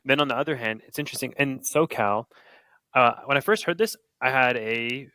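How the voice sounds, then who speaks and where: A man's voice: medium pitch, brisk, bright, forceful tone, dry recording. A man in a casual discussion, speaking in a reflective, conversational way. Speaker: podcast co-host.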